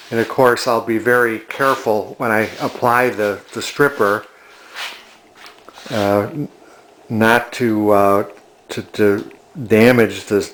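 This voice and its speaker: An older man casually narrating a hands-on project in a halting, plainspoken, matter-of-fact way. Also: lowish voice, fairly bright tone, a little hiss or hum, rough recording. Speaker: antique restoration hobbyist demonstrating a refinishing project.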